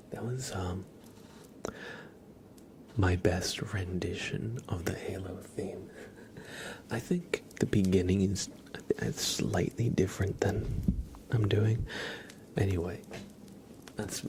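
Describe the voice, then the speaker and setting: A man hosting an ASMR livestream, chatting to viewers gently in a soft, breathy whisper that slips into a murmur. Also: slight background noise. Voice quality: breathy whisper mixed with low, creaky murmur.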